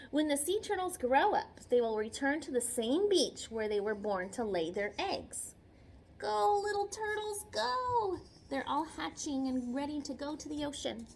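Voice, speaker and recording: A woman's voice: high-pitched, a little hiss or hum. A woman teacher reading a picture book aloud to children, expressively, with simple chatty explanations, repetition and questions. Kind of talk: read-aloud lesson with chatty explanatory asides.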